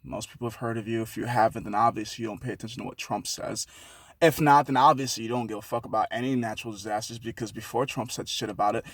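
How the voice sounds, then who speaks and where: A young man from Haiti rambles through a casual vlog, swearing freely and laughing at his own detours. His voice sits at an ordinary pitch for a man. It is recorded dry and close, with no room sound. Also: no echo. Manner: rambling, profane, self-mocking, with many restarts. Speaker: vlogger. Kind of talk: casual rambling monologue to camera.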